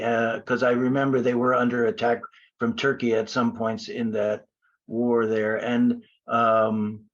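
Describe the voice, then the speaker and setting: A man asking a question on an online panel, hesitant and full of fillers. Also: mid-range voice. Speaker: audience member asking a question. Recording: dull lo-fi call audio.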